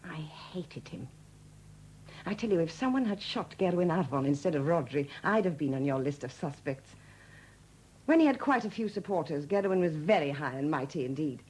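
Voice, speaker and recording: An actress playing a wry, bitter widow with a husky, expressive voice in a 1970s radio drama. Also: female voice, deep voice, moderate pace, poor audio. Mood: bitter, confiding. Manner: sardonic, expressive.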